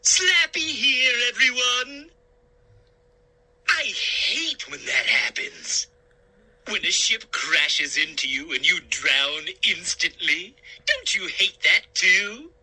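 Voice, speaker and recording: A man's voice: high-pitched, big pitch swings, slow, pushed and bright, heavily acted, low-quality recording. A man voicing a gleeful, wisecracking male ventriloquist dummy who mocks and makes puns in an audiobook interlude. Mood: gleeful mocking. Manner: mocking, punning, cackling.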